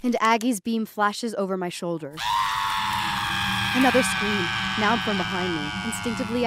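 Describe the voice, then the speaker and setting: A woman's voice: mid-range voice, lightly acted, low-quality recording. A woman playing a frightened, dazed young woman who narrates her nightmare in the first person, intense and dramatic. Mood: tense, hushed. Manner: intense, dramatic, swinging from hushed to urgent.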